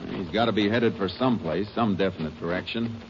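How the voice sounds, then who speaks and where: An actor playing a deep-voiced Texas lawman. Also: a little fast, noisy background, natural voice. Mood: calm. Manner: calm, matter-of-fact, commanding. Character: steady Texas Ranger lawman, man. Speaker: lead detective and narrator. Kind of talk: 1950s radio drama acting and narration. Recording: muffled 1950s radio broadcast with music.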